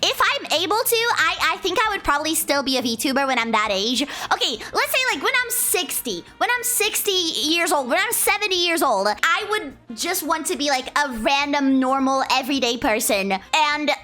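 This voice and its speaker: A young woman streamer talks over a video she is reacting to, rambling and restarting her sentences, swearing freely. Her voice sits high and sounds bright and sharp, with faint music behind her. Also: very animated, normal speed, hardly any pauses. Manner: rambling, swears a lot, laughs often, many restarts. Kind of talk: casual reaction commentary.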